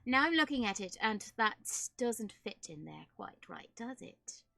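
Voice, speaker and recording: A high-voiced woman streams a building game in a put-on British accent. She talks casually and playfully, with affected genteel phrasing, addressing herself and her viewers. The sound is close and dry. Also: very animated, a little slow, creaky, sharp tone, no echo. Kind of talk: casual live game commentary, decorating rooms.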